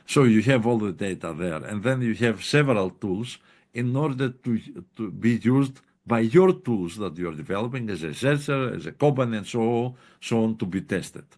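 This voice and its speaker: A man's voice: on the low side, lively intonation, normal speed, a little mellow. A man answering a question in an online seminar, hesitant and full of fillers, on a video call. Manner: hesitant, many fillers and "you know". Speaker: presenting researcher.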